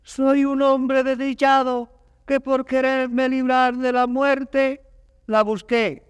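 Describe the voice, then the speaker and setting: A mortally wounded, fatalistic soldier in a Castilian verse tragedy. The voice is very high and strikingly clear, with slow, wailing phrases. A long hall reverb surrounds it. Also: male voice, flat delivery. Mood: dying, fatalistic. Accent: Castilian Spanish. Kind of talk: classical Spanish verse theatre acting. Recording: reverberant hall, vintage studio drama record.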